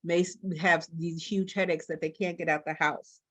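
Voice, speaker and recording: A woman's voice: on the low side, steady tone, moderate pace, a bit bright, dry recording. Woman presenting, dry close sound. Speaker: union staff presenter. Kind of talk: workshop presentation.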